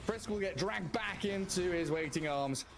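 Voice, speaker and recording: A male esports caster with a slightly high voice calls a match live. He follows the action play by play and drops in wry, playful asides. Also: normal speed, vocal fry, music in the background. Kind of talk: live game commentary.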